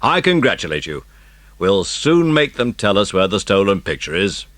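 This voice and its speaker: A man's voice: fairly low pitch, sing-song, fairly bright tone, no echo. Man playing an emphatic, authoritative police chief. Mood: warmly impressed. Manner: measured, emphatic, approving. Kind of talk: dramatised children's audiobook acting.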